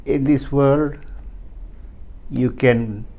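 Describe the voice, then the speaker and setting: A man, speaking as an interview guest in a halting, earnest way with many pauses and restarts. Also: mid-range voice, fairly even intonation, gravelly, dark tone. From Fiji. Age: 70s. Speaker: interview guest, community leader. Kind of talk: casual interview.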